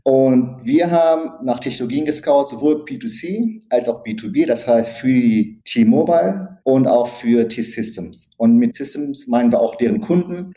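A German man in his thirties from Lower Saxony, a podcast guest explaining startup scouting in a conversational way, mixing in English business terms. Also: dark tone. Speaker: interview guest, startup consultant. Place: remote call from Tel Aviv.

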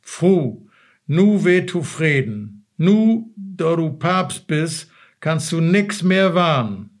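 A man reading a meek fisherman with a Low German accent. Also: big pitch swings, slow pace, full character voice. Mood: pleading. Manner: meek and pleading. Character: timid old fisherman, anxious husband.

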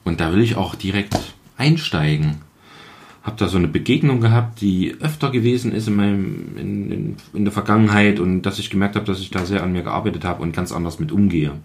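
A man's voice: deep voice, lively intonation, brisk, darkish tone, a little hiss or hum. A man in a casual podcast chat, talking reflectively in a loose colloquial way with frequent tag questions. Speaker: podcast guest. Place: kitchen.

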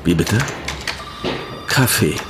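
An actor playing a stern, irritated police superior in a fiction podcast, brusque and accusatory. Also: male voice, takes it slowly, lightly acted. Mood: curt, dismissive. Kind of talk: naturalistic fiction podcast acting in German. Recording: reverberant room with clear background noise.

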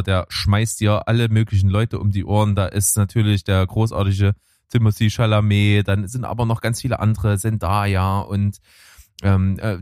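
A man's voice: on the low side, a little mellow, no room sound, studio quality. A man chatting casually about films and trailers as a co-host, rambling with many fillers. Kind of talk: casual film chat.

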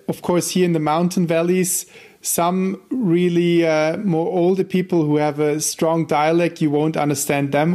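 A man in his forties, a native German speaker, talking as an interview guest in non-native English with many pauses and restarts. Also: fairly high pitch. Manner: hesitant, many ums and restarts, relaxed laughs. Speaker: guest, ministry team leader. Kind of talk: casual interview chat.

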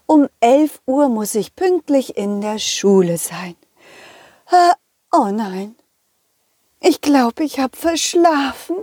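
A woman's voice: sits high, mellow, no room sound, clean studio sound. A woman voicing a sleepy, listless grown-up lemon schoolteacher, sighing and complaining wearily in a children's audio story. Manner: weary, sighing, drawn-out complaining.

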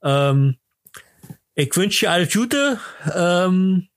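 A man's voice: sits high, animated, extremely slow, clear, resonant tone, no echo. A man hosting a podcast in casual, chatty talk, rambling enthusiastically with many hesitations and broken-off sentences. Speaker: podcast host.

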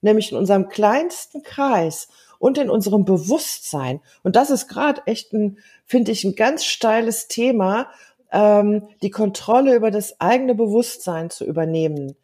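A woman in her fifties, a native German speaker, talking earnestly and thoughtfully in a casual conversation, often pausing with fillers. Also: mid-range voice, expressive, dark tone, breathy edge. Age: 50s. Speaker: guest.

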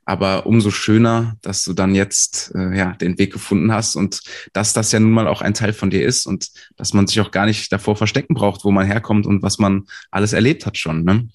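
A male interviewer with a somewhat low voice leads a relaxed conversation. He affirms his guest often and asks encouraging, open questions. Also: moderate pace, hardly any pauses. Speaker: interviewer, host.

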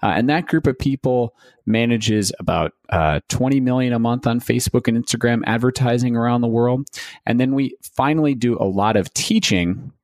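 A man's voice: mid-range voice, normal speed, darkish tone, no room sound, clean studio sound. A man speaking as a podcast guest about his business, friendly and fluent with many "uh" fillers. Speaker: guest, ad agency owner. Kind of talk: podcast interview.

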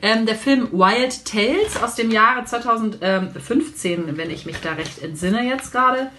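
A woman's voice: fairly high pitch, measured pace, pushed and bright, a little hiss or hum. A woman, co-hosting a podcast in casual chat with sardonic, exaggerated exasperation. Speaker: podcast co-host.

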